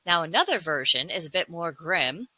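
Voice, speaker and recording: A woman hosting a folklore series, speaking in an earnest, deliberate way as she delivers a scripted monologue with formal wording. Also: sits low, very animated, pushed and bright, no room sound. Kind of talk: scripted monologue retelling and commenting on fables.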